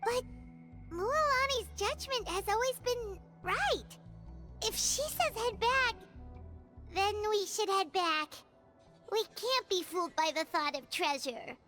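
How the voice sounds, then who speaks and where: Video game fairy companion: very high, squeaky, childlike, bouncy intonation, excitable and curious. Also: female voice, takes it slowly, over music, exaggerated voice. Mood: earnest. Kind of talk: English video game voice acting.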